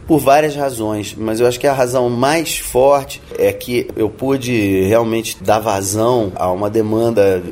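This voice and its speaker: A man's voice: on the low side, a little mellow. Thoughtful man from Rio, chatting. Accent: Brazilian Portuguese, Rio de Janeiro. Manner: thoughtful, with many restarts and tag questions. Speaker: interviewed musician. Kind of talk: relaxed interview answers.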